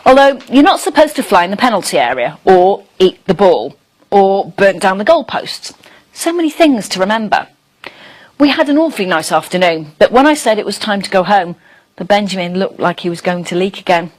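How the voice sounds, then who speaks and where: A woman plays a friendly young dragon telling a storybook tale. The delivery is wide-eyed and very animated, in a mid-pitched voice that swings up and down and stretches out key words. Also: lightly acted, low-quality recording. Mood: enthusiastic. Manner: expressive, wide-eyed storytelling with drawn-out emphasis.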